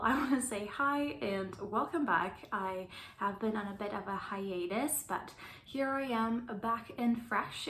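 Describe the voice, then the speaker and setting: A woman with a light German accent talks through an oracle card reading in a loose, meandering manner. She slips into soft whispers now and then. Her voice sits in a roomy, echoey space with a faint hiss underneath. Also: on the high side. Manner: meandering and gentle, often trailing off into soft whispers. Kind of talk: casual spiritual card-reading vlog. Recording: roomy with faint background noise.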